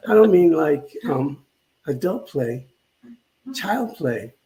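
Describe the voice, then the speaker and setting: An older man giving a conversational spiritual talk as a minister, reflective and halting, often repeating phrases as he goes. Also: a little high, very animated, vocal fry, dark tone, poor audio.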